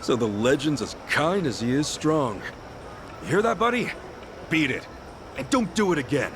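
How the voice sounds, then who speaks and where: An actor playing an earnest, hot-headed, good-hearted middle-aged hero in an English-dubbed video game, expressive and informal. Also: male voice, fairly high pitch, normal speed, noisy background. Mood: kindly. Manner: expressive, informal, exclaiming, swearing.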